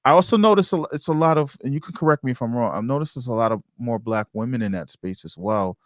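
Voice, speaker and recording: A man's voice: medium pitch, lively intonation, quick pace, no echo, studio quality. An American man hosting a podcast interview, chatting casually in an enthusiastic, colloquial way. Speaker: podcast host.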